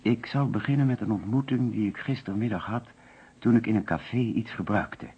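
An actor playing an anxious, courteous insurance agent under suspicion, speaking carefully and formally in a radio detective play. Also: male voice, lowish voice, brisk, slightly rough. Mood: careful recounting. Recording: muffled old radio recording.

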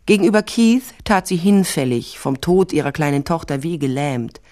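Low female voice, dry wit, self-possessed private eye, ex-cop, crime radio play. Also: expressive, moderate pace, mellow. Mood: wry, confiding. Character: tall sardonic female private detective, former police officer. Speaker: lead actor and first-person narrator. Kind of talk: crime radio drama, dialogue and intimate narration.

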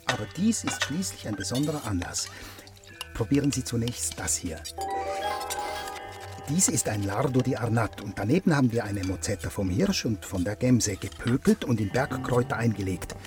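An actor playing a ruthless, polite businessman villain in a German radio drama, speaking with measured, pompous courtesy that veils a threat. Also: medium pitch, animated, natural voice. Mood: suave, sinister. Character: suave ruthless businessman villain, polite, menacing, scientifically zealous. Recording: studio radio drama with music behind.

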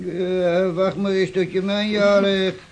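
Radio drama: weary, grumbling elderly man, gravelly and high-pitched. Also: little pitch movement, a little slow. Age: older. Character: sleepy, grumbling old man. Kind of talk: Dutch radio play acting.

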